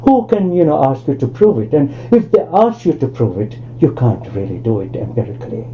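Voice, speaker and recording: A man's voice: mid-range voice, very animated, brisk, mellow, slight background noise. An older man from Tanzania giving an academic lecture over a video call, speaking discursively with many asides and restarts. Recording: lo-fi online call audio.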